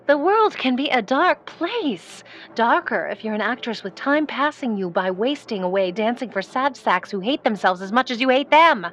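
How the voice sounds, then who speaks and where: An actress playing an ambitious, sardonic aspiring film star in a 1940s-style noir audio drama, dry and theatrical. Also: female voice, a little high, fairly bright tone.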